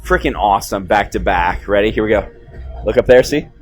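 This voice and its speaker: A man giving excited, casual film commentary in slangy, exclamatory bursts as a host. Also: lowish voice, brisk. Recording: music playing behind the voice.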